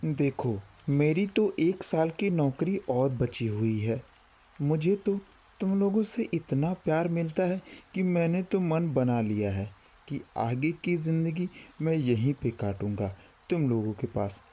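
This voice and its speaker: A man playing a kindly, bright-voiced village schoolmaster. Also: a little high, lively intonation, a little slow. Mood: gentle, reassuring. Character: kindly village schoolmaster nearing retirement, caring and generous. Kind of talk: Hindi cartoon story dialogue.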